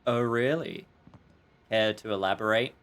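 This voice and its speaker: A man's voice: lowish voice, measured pace, a bit bright. A man livestreaming a game with casual, rambling commentary full of jokey asides.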